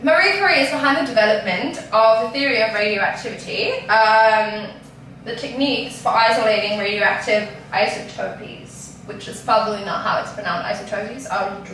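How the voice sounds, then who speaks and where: A woman's voice: mid-range voice, normal speed, a bit bright, slight background noise. Giggly Danish woman in an echoey room. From Denmark. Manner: giggly, chatty, self-mocking. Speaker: vlog host. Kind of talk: casual chat. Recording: echoey room, mic far off.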